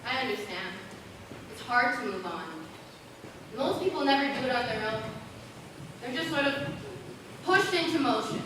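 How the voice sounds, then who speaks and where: A young female student actor playing a gentle, wistful girl haunted by loss in a school stage play. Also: a little high, expressive, normal speed, long pauses, lightly acted. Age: teenager or young adult. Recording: echoey noisy stage, distant mic.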